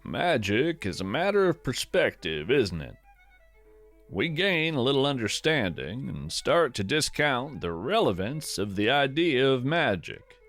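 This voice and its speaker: A man narrates a nature podcast in a contemplative, scripted style. He speaks slowly with a lively, varied pitch and a gently wry manner. Faint fiddle music plays behind him.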